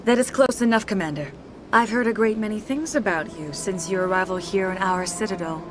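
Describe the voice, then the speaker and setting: An actress voicing a refined, composed alien consort who speaks in calm, gracious sentences. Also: female voice, medium pitch, a little fast, natural voice. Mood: poised. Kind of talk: video game voice acting. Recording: game audio over music.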